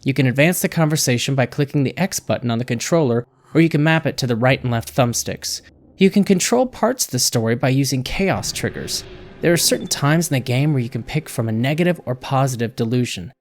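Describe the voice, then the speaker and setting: A man's voice: medium pitch, animated, brisk. A man reading a scripted video game review as a voice-over narration. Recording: music behind the voice. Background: background music.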